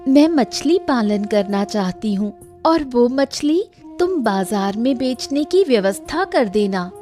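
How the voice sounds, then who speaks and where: Actress as young cartoon village woman, determined, animated intonation, fairly high voice, light music behind. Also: measured pace. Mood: eager. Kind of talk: Hindi animated moral story dialogue.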